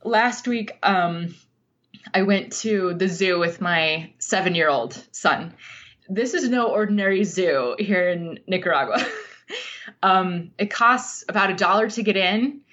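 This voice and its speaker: Female interview guest, slightly low voice, unhurried and earnest, reflective storyteller, dull lo-fi sound. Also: animated, a bit bright. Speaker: podcast guest, writer.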